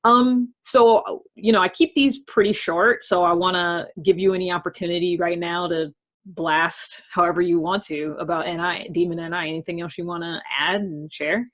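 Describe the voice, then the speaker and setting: A woman hosting a casual interview chat, talking in a thoughtful, halting way that tends to trail off. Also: on the low side, steady tone, fairly bright tone. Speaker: host.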